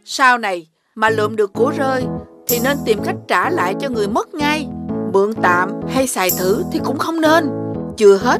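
Casting: woman for firm, motherly pig in children's animation, southern Vietnamese, over loud music. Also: a little high, animated, normal speed, lightly acted. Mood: scolding. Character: mother pig, caring but strict. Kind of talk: children's cartoon dubbing.

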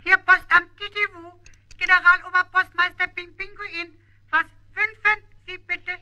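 Little penguin postmaster, officious, eager, very squeaky, sharp bright tone, 1970s children's radio play. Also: male voice, flat delivery, unhurried, lo-fi sound, faint music behind, full character voice. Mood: flustered, official. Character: small officious penguin, self-important postmaster.

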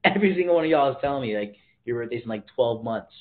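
A man's voice: mid-range voice, expressive, very fast. Teen boy chatting on his phone livestream, laid-back slang, tinny phone-line sound. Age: teens. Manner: slangy, laid-back, reads viewer comments aloud. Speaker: livestream host. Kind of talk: casual livestream chat. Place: bedroom, indoors. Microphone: phone at arm's length.

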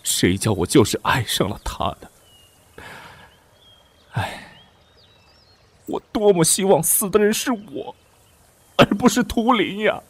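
A man's voice: high-pitched, wildly swooping pitch, slow, pauses often, slightly rough, exaggerated voice. Man playing a hammy, wildly swooping prince. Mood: mournful, pompous. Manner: melodramatic, hammy, stammering when flustered. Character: young lecherous prince, secretly another soul, theatrically grieving and scheming. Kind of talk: Chinese costume audio drama acting.

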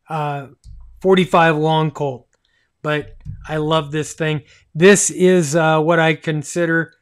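Man in his fifties, livestream host, slow pace, enthusiastic, repeats himself for emphasis. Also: on the high side, clean tone. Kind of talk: casual livestream hosting. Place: small home radio room, indoors.

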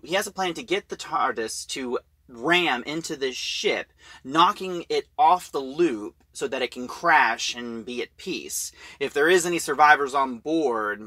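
A man giving an informal spoken review, retelling a plot in a halting, emphatic storyteller's way. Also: mid-range voice, bright, forceful tone, dry recording.

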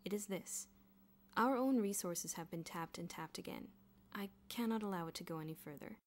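A woman's voice: lowish voice, natural voice. Woman playing a stern, dry male headmaster. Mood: stern, measured. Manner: formal, measured, authoritative.

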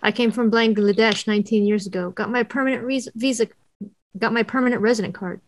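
A woman's voice: a little high, fairly even intonation, a little fast, no room sound, lightly acted. Woman reading a chatty middle-aged driver, dry sound. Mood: concerned. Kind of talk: online table read of a screenplay. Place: indoor room, video call.

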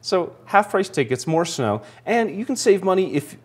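A man's voice: high-pitched, big pitch swings, moderate pace, breathy edge. A man in his thirties giving a casual, promotional interview as a spokesman in a TV studio, easygoing and a little salesy. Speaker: ski area spokesman, TV guest. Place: small TV studio, seated on couch.

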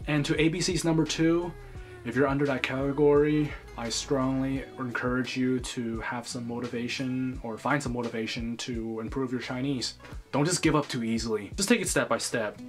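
A man's voice: medium pitch, fairly even intonation, normal speed, faint music behind. A young man in his twenties from the United States, talking earnestly straight to camera in a small bedroom, close to the camera. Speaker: vlogger. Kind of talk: scripted vlog commentary.

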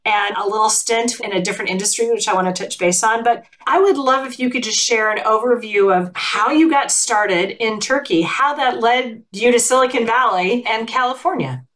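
A woman's voice: on the high side, normal speed, hardly any pauses, fairly bright tone. A woman hosting a corporate interview podcast, affirming her guest and leading into new topics with enthusiasm. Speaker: podcast host.

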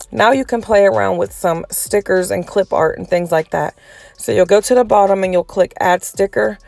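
A woman giving a casual step-by-step tutorial, sounding a little raspy and hoarse from a cold. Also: medium pitch, a little mellow, dry recording. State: getting over a cold, slightly hoarse. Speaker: tutorial host.

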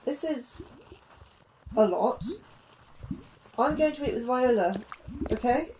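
A woman's voice: a little high, lively intonation, slow pace, background noise. A woman playing an anxious astrobiologist in an audio drama, with a hesitant, understated way of talking. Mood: shaky, mild. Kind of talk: naturalistic audio drama acting.

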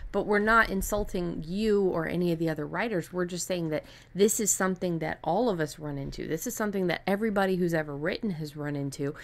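A low-voiced woman in the role of podcast host. She talks in a casual, explanatory way, giving advice and sketching quick examples as she goes. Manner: explanatory, illustrates points with made-up examples. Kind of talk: casual advice discussion about fiction writing.

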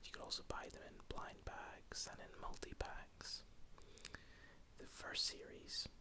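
A man in his thirties with a Northern Irish accent, whispering a rambling, self-deprecating ASMR guide close to the mic. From Northern Ireland. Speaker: ASMR host. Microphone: close-up mic with wind pops.

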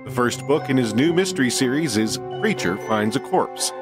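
Male promo announcer, animated pitch, clear music bed. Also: normal speed. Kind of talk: scripted promotional narration.

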